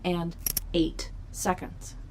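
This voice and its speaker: A woman's voice: lowish voice, completely flat delivery, very slow, vocal fry, darkish tone, a little hiss or hum, full character voice, rough recording. A woman playing a polite, literal-minded AI assistant in a sci-fi audio drama, speaking in formal, stilted procedural phrases.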